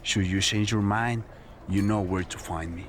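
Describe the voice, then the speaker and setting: A man voicing a confident, smooth-talking wrestler making an advance in a video game cutscene. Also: fairly low pitch, steady tone, moderate pace, a little hiss or hum, close to an everyday voice. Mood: suave, intrigued.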